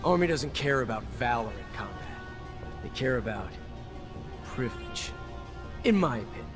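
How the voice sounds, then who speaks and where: An actor playing a cynical veteran soldier in a video game, gruff and blunt in manner. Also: male voice, medium pitch, expressive, normal speed, lightly acted. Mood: grim, resentful. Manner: gruff, sardonic, blunt, swearing. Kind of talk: video game dialogue acting. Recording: music behind the voice.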